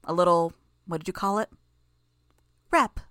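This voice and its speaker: A woman's voice: on the low side, a little slow, a bit bright, no echo, clean studio sound. A woman voicing a cocky, gleeful teenage girl villain who talks in a brash, crude way. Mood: blunt. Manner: brash, crude, pleased with herself.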